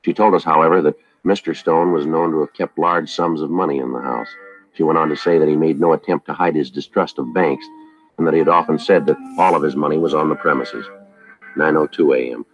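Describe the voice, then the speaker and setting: A very low-voiced police detective talks fast, in terse official phrases. He stays calm and polite, on a muffled vintage radio crime drama recording. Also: faint music behind. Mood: clipped, official. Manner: terse, clipped, polite and procedural. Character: calm, businesslike male police detective and narrator. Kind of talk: old-time radio police drama acting and narration.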